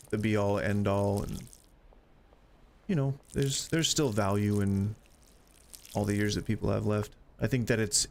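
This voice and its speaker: Gravelly rambling man in his forties. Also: lowish voice, a little hiss or hum. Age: 40s. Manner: rambling, self-deprecating, chatty. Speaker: gaming streamer. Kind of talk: casual gameplay chat.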